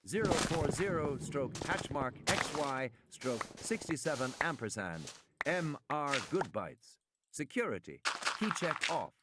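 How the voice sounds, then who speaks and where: A man reading scripted audiobook narration with deliberate, precise enunciation. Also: mid-range voice. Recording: loud gunfire and clicking game noise behind.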